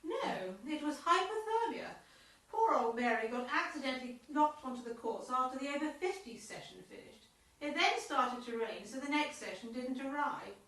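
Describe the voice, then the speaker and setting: A brisk, self-important committee chairwoman, played by an amateur actress in a radio comedy, speaking in a highish voice. She is formal and officious, heard from off the mic in a very echoey space. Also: expressive, pushed and bright. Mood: earnest, gossipy. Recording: very echoey, distant mic, faint noise.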